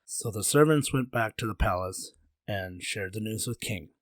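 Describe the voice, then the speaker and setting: A man's voice: fairly low pitch, normal speed, no room sound, studio quality. A man telling a folktale as a storyteller in a casual, conversational way, with playful slang.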